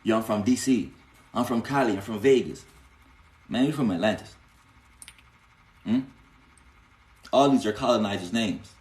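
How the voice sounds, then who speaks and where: Low-voiced man teaching on a live stream. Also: normal speed, pauses often, darkish tone, echoey room. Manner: repeats "you know what I'm saying" often, rising emphatic bursts. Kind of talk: casual live-stream teaching, answering viewer questions.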